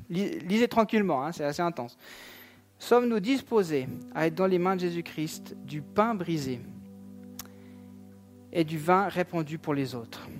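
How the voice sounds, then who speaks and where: A man in his thirties, a pastor giving a sermon in a church, talking in a conversational way with fillers and asides. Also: high-pitched. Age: 30s. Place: church sanctuary.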